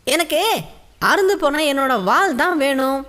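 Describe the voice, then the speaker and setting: A woman's voice: high voice, moderate pace, a bit bright. A woman playing a cheeky, curious young male monkey in a children's cartoon, eager and sure of himself. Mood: excited, scheming. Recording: music behind the voice.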